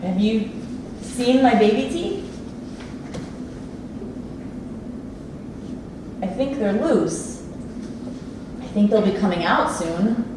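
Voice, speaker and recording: Woman acting gentle earnest immigrant housekeeper in a play, takes her time, super echoey noisy room. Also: mid-range voice, lively intonation, a little slow, distant mic, natural voice. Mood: tender, earnest. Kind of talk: staged play reading. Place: live staged reading in an echoey theater space.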